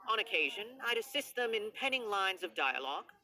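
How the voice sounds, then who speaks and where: A woman's voice: mid-range voice, big pitch swings, fairly quick, bright, forceful tone. A woman voicing an aloof, condescending, philosophical theater writer in a video game, haughty, musing and formal. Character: aloof, condescending, philosophical theater resident who pens dialogue. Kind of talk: video game character dialogue.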